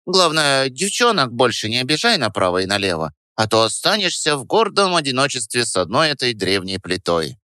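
A man voicing a snide, squeaky know-it-all rat who teases mock-grandly in an audiobook. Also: big pitch swings, a little fast, hardly any pauses, bright, forceful tone, no room sound, studio quality. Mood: snide teasing. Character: sarcastic know-it-all male rat, teasing philosopher sidekick. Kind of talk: audiobook character voice.

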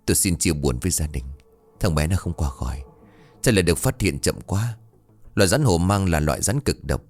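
A grave, measured old healer woman from a village tale, delivering hard news in a low, quiet voice, as a male narrator puts her on. Soft music plays faintly underneath. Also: lively intonation. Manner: slow, solemn, measured.

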